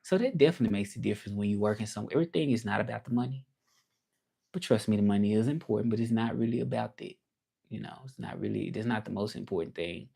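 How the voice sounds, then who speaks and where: A man with a Southern accent from Memphis talks on a livestream in a loose, reflective, motivational ramble. His voice sits low and carries a gravelly edge with a warm, mellow tone. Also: quick pace. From Memphis, Tennessee. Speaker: livestream host. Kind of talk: casual livestream talk.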